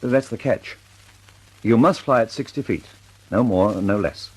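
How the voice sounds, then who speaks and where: A man's voice: lowish voice, measured pace, a little mellow, breathy edge, rough recording. An actor playing a fussy, anxious older male bomb inventor in a 1940s radio drama. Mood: fretful.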